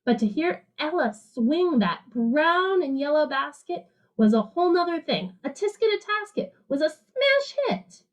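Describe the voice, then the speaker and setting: A woman reads as a streetwise alley cat who loves jazz, telling a picture-book story. The voice sits high. The delivery is slangy and rhythmic, with playful emphasis. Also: very animated, a little slow, rough recording. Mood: swinging, enthusiastic. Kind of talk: picture-book read-aloud narration.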